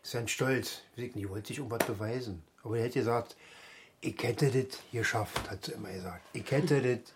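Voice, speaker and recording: An actor playing a stubborn, frail older man with a Berlin accent, rambling and repeating himself haltingly in a radio drama. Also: mid-range voice, noisy background, natural voice. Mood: wistful, halting. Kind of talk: naturalistic radio drama dialogue.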